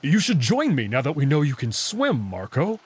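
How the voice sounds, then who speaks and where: A man voicing a self-assured, teasing male wolf character from a visual novel, casual and gently bossy. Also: a little high, wildly swooping pitch, normal speed, husky, exaggerated voice. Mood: easygoing. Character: confident, teasing male anthropomorphic wolf, commanding yet caring.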